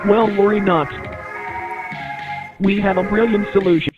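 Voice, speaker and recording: A man acts out an eerily reassuring male announcer for a sinister analog horror advert. The voice is high and deliberate, pitched like a salesman, in a muffled lo-fi recording with prominent music behind it. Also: fairly even intonation. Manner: deliberate, salesman-like address to the listener. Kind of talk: scripted infomercial narration in analog horror. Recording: muffled lo-fi playback under loud music.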